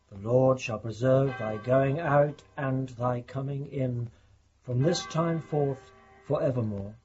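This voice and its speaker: A husky, solemn, troubled clergyman. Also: mid-range voice, lo-fi sound. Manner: solemn, measured, pastoral. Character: troubled, guilt-laden clergyman, solemn and gentle. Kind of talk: broadcast radio drama acting.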